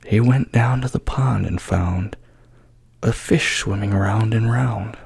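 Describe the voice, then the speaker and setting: A man gently reading a bedtime story in a soft-spoken ASMR style, often slipping into a whisper. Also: lowish voice, takes it slowly, gravelly, slightly rough, no room sound.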